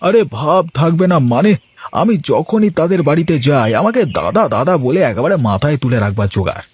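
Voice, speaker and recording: A man's voice: fairly high pitch, big pitch swings, a little fast, darkish tone, husky, no room sound. A man voicing a poor, lazy village husband in a cartoon, whining and protesting like a boastful idler. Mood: boastful, resigned. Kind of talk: Bengali cartoon dialogue dubbing.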